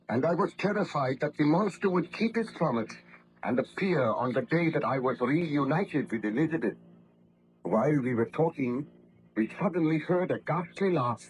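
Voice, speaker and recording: An actor playing an anxious, haunted baron and protective husband, earnest and formal in old radio drama style. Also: male voice, medium pitch, highs cut off, a little hiss or hum, natural voice. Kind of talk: 1930s radio drama acting.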